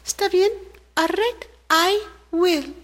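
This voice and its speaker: A woman's voice: takes it slowly, a bit bright, heavily acted. A woman voicing a small, indignant female ant who shrilly protests that things are not fair. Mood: resolute. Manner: shrill, protesting, repeats complaints.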